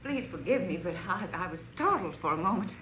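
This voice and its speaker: A woman's voice: fairly low pitch, big pitch swings, a little fast, slight background noise. An actress playing a polite, earnest, nervous woman at a séance in a radio drama, speaking hesitantly. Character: polite, earnest, nervous open-minded female séance guest. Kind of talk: old-time radio drama acting. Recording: dull lo-fi radio recording, music behind.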